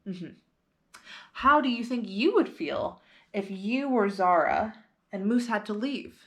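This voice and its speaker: Slow, animated woman reading to children. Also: mid-range voice. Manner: expressive, addresses children with simple questions. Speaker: library storytime host reading a picture book. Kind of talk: children's read-aloud with questions to young listeners.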